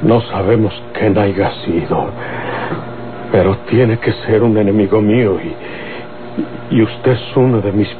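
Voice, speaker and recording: A man's voice: fairly low pitch, slow pace, pauses often. A man playing a proud, vengeful Mexican outlaw in a radionovela, with a rustic, emphatic, threatening delivery. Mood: menacing. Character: proud, vengeful male outlaw, menacing family avenger. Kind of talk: Mexican golden-age radionovela acting. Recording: tinny old radio, music underneath.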